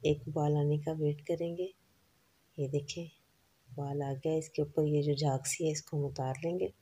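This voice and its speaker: A woman explains a recipe step by step in a calm voice-over, listing each ingredient and its amount. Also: sits low, a little slow, husky. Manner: calm, instructive, lists ingredients and quantities. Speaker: cooking channel host.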